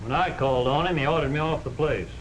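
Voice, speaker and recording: A man plays a small-town lamp seller from a 1940s picture, plainspoken and worn down by slow sales. A faint hiss of old film noise sits under the voice. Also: fairly high pitch, natural voice, poor audio. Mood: frustrated. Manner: plainspoken, exasperated.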